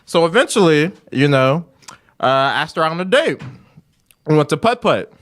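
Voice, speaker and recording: A young man, a podcast guest, telling casual stories and laughing in quick repeated bursts. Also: fairly high pitch, big pitch swings, a bit bright. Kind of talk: casual storytelling chat.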